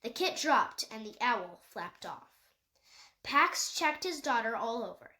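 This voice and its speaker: A woman's voice: fairly high pitch, sing-song, measured pace, sharp tone. A woman reading a children's animal novel aloud as scripted storybook narration. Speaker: audiobook reader.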